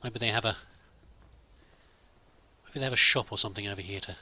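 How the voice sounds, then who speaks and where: A man's voice: fairly low pitch, fairly quick, pushed and bright. A man musing aloud as he plays, improvising in-character commentary and talking to his companion as a let's play host.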